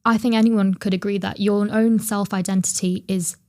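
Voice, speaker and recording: A woman's voice: medium pitch, fairly even intonation, normal speed, dark tone. A young woman co-hosting a student podcast, thinking aloud in casual discussion with many fillers.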